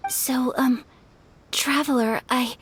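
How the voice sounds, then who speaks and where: A woman voicing a polite, dutiful young noblewoman in a video game, speaking in a soft, measured, earnest way. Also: sits high, steady tone, breathy edge, exaggerated voice. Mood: nervous, shy. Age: young adult. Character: gentle, dutiful young noblewoman, wistful and shy. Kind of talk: English video game voice acting, soft and formal. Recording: clean voice over background music. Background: music.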